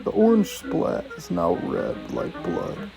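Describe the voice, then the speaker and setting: A man's voice: low voice, wildly swooping pitch, takes it slowly, vocal fry, over music. A man hosting a video review, reading scripted commentary with some casual, profane asides.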